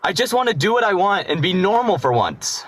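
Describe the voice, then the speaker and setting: A young man plays a rebellious teenage younger brother in a radio play. His voice sits fairly high, and he talks in a defensive, sarcastic, sullen way. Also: sing-song, a little fast, a bit bright, dry recording, heavily acted, low-quality recording. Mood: defiant. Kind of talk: amateur radio play acting.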